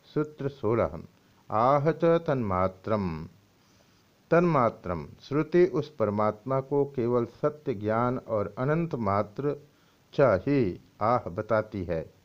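A man reads scripture and commentary from a text in a formal, deliberate way, as a religious teacher would. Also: medium pitch. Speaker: swami reading and explaining scripture. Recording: dull, lo-fi audio.

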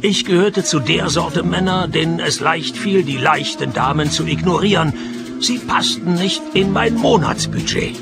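Creaky, sardonic private eye. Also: male voice, fairly high pitch, normal speed, loud music behind the voice. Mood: deadpan narrating. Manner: deadpan, dry wisecracking. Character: sardonic, hard-up male private detective narrating his cases. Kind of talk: radio play dialogue and first-person narration. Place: radio drama studio.

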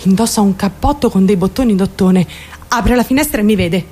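A woman's voice: medium pitch, a little fast, warm tone, husky, close to an everyday voice. An actress playing a troubled teenage girl who recounts her life in an intense, confiding dramatic radio monologue. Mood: subdued. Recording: radio drama over background music. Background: music.

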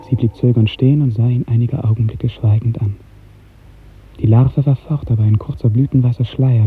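A man narrating a literary 1950s radio drama in a measured, precise storytelling manner. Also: medium pitch. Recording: narrow-band 1950s radio recording, faint music.

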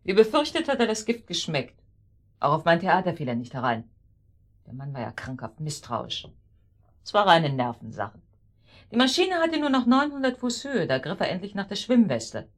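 A scornful, calculating woman in a radio drama. Her voice sits fairly low but moves in lively swings. She is pointed, sarcastic and quick to interrogate. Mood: cool, triumphant. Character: cool, scheming, scornful female murder accomplice. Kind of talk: German radio drama acting.

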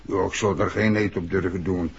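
A man's voice: sits low, steady tone, normal speed, slightly rough, exaggerated voice. An actor playing a gossipy, plain-spoken older male innkeeper, talking in a folksy, colloquial way in a 1960s radio drama. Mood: confiding, chatty. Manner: colloquial, folksy, peppers speech with tag questions. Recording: muffled old radio recording.

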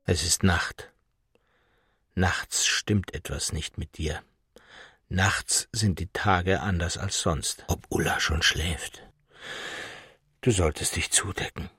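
A man voicing a forgetful retired old professor's crude, self-pitying inner monologue in a measured, deliberate way. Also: deep voice, steady tone, bright, forceful tone, breathy edge, dry recording, studio quality, full character voice. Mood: muttering, uneasy. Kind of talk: literary second-person monologue.

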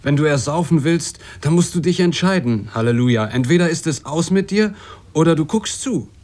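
Man playing a highish-voiced, mocking sailor. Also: lively intonation, normal speed, darkish tone, close to an everyday voice. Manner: blunt, teasing. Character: mocking, hot-tempered sailor, protective of his shipmate. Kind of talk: radio drama acting.

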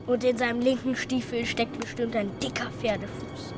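A woman's voice: a little high, fairly even intonation, moderate pace, music in the background, lightly acted. A female performer playing a defiant, weary beggar child in a radio play for children. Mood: scornful.

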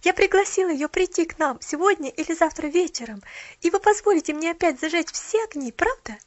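A woman's voice: very high-pitched, no room sound, lo-fi sound, pitched above the performer's own voice. A woman playing a gushing, breathless little girl. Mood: pleading. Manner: breathless, gushing, rapid pleading. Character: eager chattering little girl.

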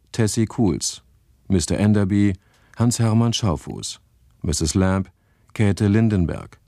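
A man's voice: on the low side, mellow, dry recording. A male radio announcer formally reading out the closing credits of a radio drama. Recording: dry close studio sound.

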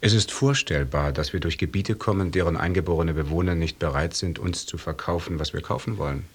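Reserved expedition officer, very deep, husky, formal, restrained, measured radio drama delivery. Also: male voice. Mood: reserved, sober. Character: correct, reserved lieutenant narrating an expedition. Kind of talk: German radio drama acting and narration.

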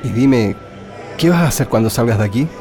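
A man's voice: medium pitch, lively intonation, a little fast, music in the background, natural voice. A man playing a resolute, questioning political prisoner in a radio drama. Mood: pensive.

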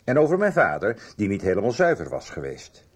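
An actor playing an older book publisher who haltingly and deliberately recounts a guilty past in a radio drama. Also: male voice, fairly low pitch, huge pitch swings, breathy edge, heavily acted. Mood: reflective, confessional. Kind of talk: radio drama dialogue, reminiscing.

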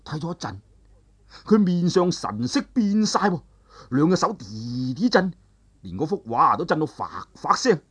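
A male storyteller narrating a traditional tale with animated, drawn-out phrasing and sentence-final particles. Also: fairly high pitch, husky.

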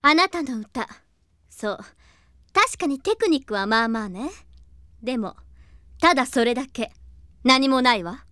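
Aristocratic idol girl: high, lilting, condescending, prim, audio drama delivery. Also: expressive, measured pace, pauses often, sharp tone, no echo, full character voice. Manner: condescending, prim ladylike phrasing. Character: haughty, mysterious young lady idol with aristocratic airs. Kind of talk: anime audio drama acting.

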